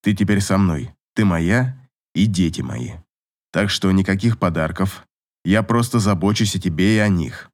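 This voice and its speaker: A man voicing a low, possessive, domineering lover. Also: moderate pace, lightly acted. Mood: low, commanding. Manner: insistent, authoritative. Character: possessive, domineering male lover, commanding and evasive. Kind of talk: audiobook romance dialogue.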